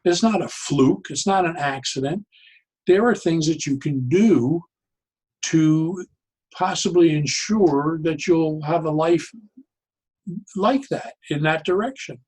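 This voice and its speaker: An older man with a somewhat high voice speaks as a guest in a reflective interview. He is deliberate and halting, often doubling back to restart a phrase as he explains his thinking. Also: a little mellow, slightly rough, low-quality recording. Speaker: interview guest, psychologist and humor educator.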